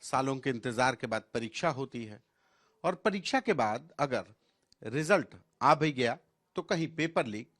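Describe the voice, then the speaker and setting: A man's voice: mid-range voice, animated, a little slow, a bit bright. A man narrating a scripted television news report as a reporter.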